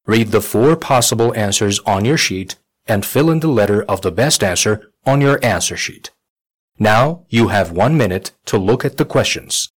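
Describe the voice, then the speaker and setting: A man announcing item numbers and instructions for a listening exam in a measured, formal reading. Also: lowish voice, animated, husky. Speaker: test announcer.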